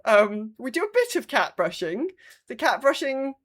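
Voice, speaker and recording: A woman's voice: high-pitched, sing-song, moderate pace. A woman with a British accent chatting casually as a podcast co-host, with a wry, dry sense of humour. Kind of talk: casual chat.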